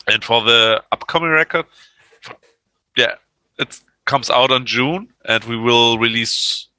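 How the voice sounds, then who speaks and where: A man's voice: medium pitch, slow, sharp tone, no echo. A man from Germany, a native German speaker, chatting casually as a band musician being interviewed on a podcast.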